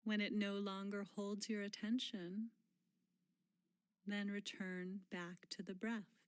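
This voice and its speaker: A woman leading a guided mindfulness meditation in a gentle, unhurried manner, pausing often and letting phrase endings fall soft and breathy. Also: mid-range voice, flat delivery, no room sound, studio quality.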